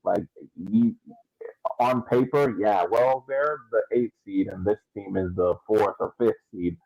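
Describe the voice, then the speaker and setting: Gravelly, husky man on a sports podcast. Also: fairly low pitch, unhurried, dark tone, dry recording. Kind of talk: casual sports discussion. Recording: dull, lo-fi, dry close sound.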